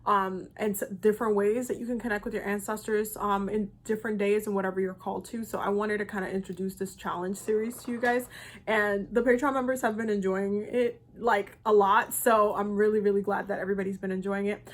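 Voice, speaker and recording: A woman's voice: mid-range voice, little pitch movement, a little fast, a little hiss or hum. A young woman from the Dominican Republic, talking casually to her viewers in a loose, rambling way with many fillers. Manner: loose and chatty, many ums and restarts. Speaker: spiritual content creator. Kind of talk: casual vlog talk to viewers.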